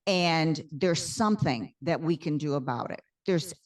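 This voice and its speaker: A woman hosting a podcast interview, with a very low voice for a woman. She speaks earnestly and often pauses on "um." She sounds a little distant from the mic. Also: lively intonation. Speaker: podcast host. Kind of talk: conversational interview.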